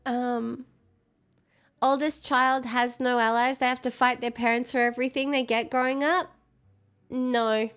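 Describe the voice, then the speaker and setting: A woman's voice: on the high side, little pitch movement, measured pace, a bit bright, smooth. A young adult woman streaming, chatting casually with viewers, stuffed up with a cold and giggly, self-mocking.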